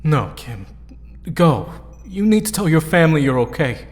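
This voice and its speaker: An actor playing a crushed, subdued teenage boy hero in an audio drama, halting and downcast. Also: a little high, sing-song, darkish tone, faint music behind. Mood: weary, grieving. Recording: reverberant hall sound.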